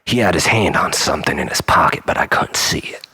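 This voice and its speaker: A man performing a theatrical first-person monologue as a storyteller, melodramatic, swinging between exclamation and breathy hush. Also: low voice, expressive, fairly quick, in a whisper.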